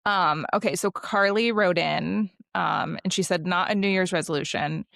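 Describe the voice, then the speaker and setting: A woman in her thirties co-hosting a podcast with a low, gravelly voice. She chats casually and wryly, laughing often, recorded dry on a close microphone. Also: moderate pace, fairly bright tone, no echo. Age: 30s. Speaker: podcast co-host. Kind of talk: casual chat.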